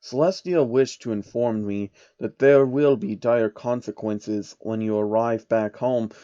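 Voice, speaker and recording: A man voicing a sly, elderly male billionaire manipulator in a slow, measured way for a fan story. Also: mid-range voice, warm tone, smooth, dry recording, lo-fi sound, natural voice. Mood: sly deceit. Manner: slow, measured, drawn-out delivery.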